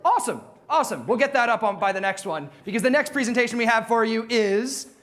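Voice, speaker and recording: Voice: mid-range voice, lively intonation, fast, a bit bright. An event host emceeing live in an upbeat, encouraging way to a room audience. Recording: live event with faint crowd.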